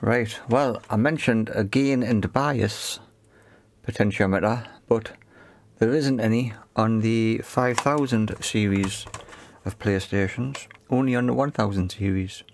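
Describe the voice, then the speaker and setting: A man casually explaining a hands-on tech repair in a matter-of-fact, halting way. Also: lowish voice, noisy background. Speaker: repair video presenter.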